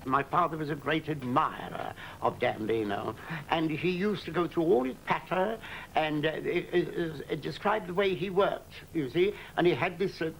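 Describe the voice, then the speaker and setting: Older man, gravelly, halting reminiscence, faint rumble. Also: rough recording. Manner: halting, fond recollection. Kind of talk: reminiscing interview.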